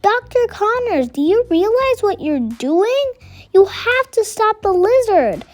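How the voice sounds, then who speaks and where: Lady reading as a plucky young superhero guy in a kids book, high voice, urgent, exclaiming. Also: big pitch swings, unhurried, heavily acted. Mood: urgent pleading. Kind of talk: storybook read-aloud dialogue.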